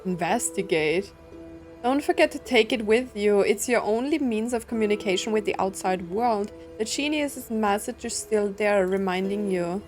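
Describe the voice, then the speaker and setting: A woman's voice: medium pitch, a little mellow. A woman streamer giving casual playthrough commentary, reading game text aloud and musing in short reactions.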